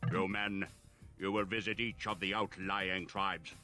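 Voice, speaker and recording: A stern Mongol commander in a game. His theatrical voice is low, sharp and very forceful, with faint music behind. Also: flat delivery, unhurried. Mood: stern, commanding.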